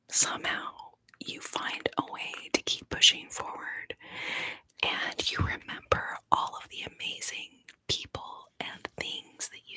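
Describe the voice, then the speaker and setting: A woman whispering softly and slowly to her viewers, tearful and emotional, with frequent pauses. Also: no echo, rough recording. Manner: soft, slow, emotional, pauses often. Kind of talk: whispered ASMR chat to viewers.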